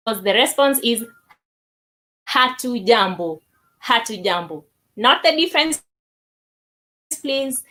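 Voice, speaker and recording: A woman giving a language lesson, deliberately repeating words for learners. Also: on the high side, fairly bright tone, rough recording. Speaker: language teacher. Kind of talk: language lesson, demonstrating greetings.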